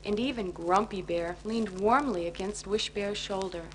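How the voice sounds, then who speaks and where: A woman narrating a children's bedtime story in an expressive storytelling manner. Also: mid-range voice, moderate pace. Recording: noisy 1980s children's record.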